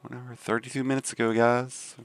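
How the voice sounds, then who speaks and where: A man from the Southern US with a deep voice and a Southern accent, chatting casually on a game live stream. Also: flat delivery, a little fast, creaky. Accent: Southern American. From Southern United States. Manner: laid-back, rambling with many fillers.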